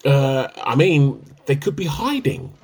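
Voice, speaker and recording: An actor playing an evasive, sheepish husband with a dry wit in a radio play comedy, hesitant and teasing. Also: male voice, mid-range voice, wildly swooping pitch, slow, gravelly, a little mellow, breathy edge, heavily acted.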